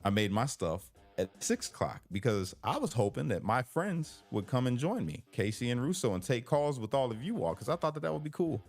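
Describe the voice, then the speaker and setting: A man's voice: mid-range voice, very animated, brisk, few pauses, faint music behind. A man hosting a live call-in stream, chatting casually, joking and teasing, reading chat comments aloud. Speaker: live show host. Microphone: headphones with computer mic.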